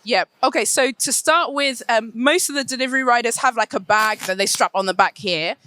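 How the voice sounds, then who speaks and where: A woman's voice: on the high side, brisk, bright, forceful tone, no room sound. English-accented woman giving a conference talk. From United Kingdom. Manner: fluent but full of ums and restarts. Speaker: conference speaker, company head. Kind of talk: conference presentation and Q&A.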